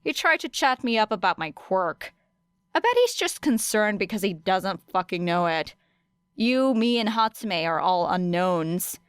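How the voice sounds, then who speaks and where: Woman acting teenage girl student, brash, teasing, blunt, smooth voice, audiobook dialogue. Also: medium pitch, animated, unhurried, no echo, clean studio sound, close to an everyday voice. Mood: scheming. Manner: sarcastic, blunt.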